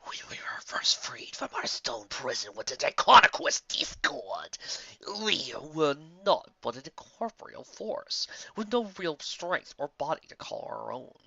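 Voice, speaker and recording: Ancient male blight overlord, rasping whisper, slow and commanding, wide swings, dull recording. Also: mid-range voice, very animated, bright, forceful tone, no room sound, exaggerated voice. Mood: grandiose, sinister. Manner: slow, drawn-out, grandiose royal we.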